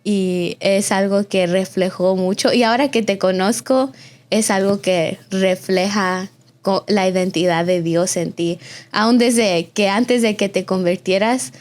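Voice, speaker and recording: A woman's voice: medium pitch, fairly even intonation, a little slow, a little mellow. Young woman hosting, effusive and conversational. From Austin, Texas, of a Mexican family. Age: early 20s. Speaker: podcast host.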